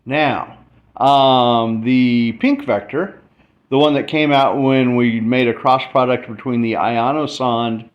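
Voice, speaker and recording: A man's voice: medium pitch, fairly even intonation, takes it slowly. A man giving an informal explainer, thinking aloud in short, stop-start phrases as he demonstrates. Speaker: presenter explaining math. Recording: dull lo-fi mic, faint background noise.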